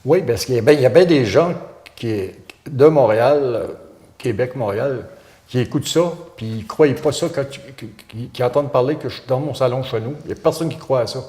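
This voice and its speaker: A man's voice: medium pitch, a little fast, warm tone, echoey room. A man, a volunteer radio host answering interview questions with many hesitations and restarts. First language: French.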